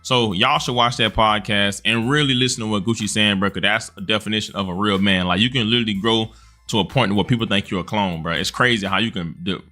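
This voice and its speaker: Fast, forceful male commentator. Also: lowish voice. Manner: emphatic, slangy, earnest. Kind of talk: casual opinion commentary with personal anecdotes.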